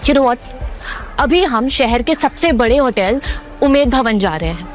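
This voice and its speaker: High-voiced haughty young rich wife. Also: female voice, lively intonation, unhurried. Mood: angry, scornful. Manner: scolding, Hindi mixed with English phrases. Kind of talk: Hindi audio drama acting. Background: music.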